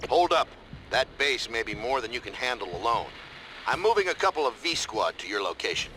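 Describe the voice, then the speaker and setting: An actor playing a stern military commander in a video game, giving formal, measured and authoritative mission briefings. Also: male voice, mid-range voice, sing-song, background noise, close to an everyday voice. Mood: brisk, instructive. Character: stern male military commander briefing a special forces soldier. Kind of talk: scripted game cutscene acting and mission guidance.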